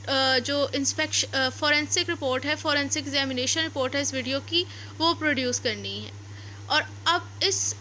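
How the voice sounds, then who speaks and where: A woman's voice: high voice, sharp tone. A woman with a Pakistani accent giving an explanatory lecture, didactic, restating key points as she goes. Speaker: law lecturer. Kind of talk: explanatory law lecture with examples. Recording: noticeable background noise.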